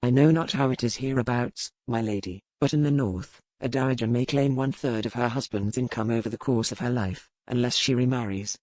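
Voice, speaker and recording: A woman voicing an earnest male physician in a storybook, speaking formally and with measured courtesy. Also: rumbling low, darkish tone, no echo, voice pushed down, rough recording.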